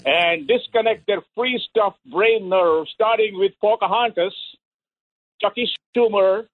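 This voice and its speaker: Man, radio caller, higher voice, sarcastic jokes, tinny phone line, dry. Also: a little slow. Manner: joking, sarcastic, then earnest. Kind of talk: phone-in comment.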